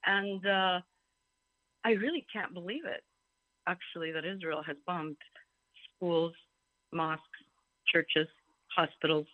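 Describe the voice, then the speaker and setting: A woman's voice: lowish voice, slow pace, pauses often, no echo. A talkative woman from Greece giving informal personal testimony as a speaker, emphatic and given to digressions. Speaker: symposium speaker. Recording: thin phone-like call audio.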